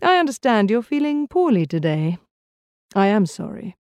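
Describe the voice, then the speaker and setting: A sober middle-aged gentleman lawyer from a period novel, read by a woman. He speaks formally and with restraint, coolly polite, in a mellow tone. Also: medium pitch, sing-song, normal speed, no echo, studio quality, close to an everyday voice. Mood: pleasant politeness.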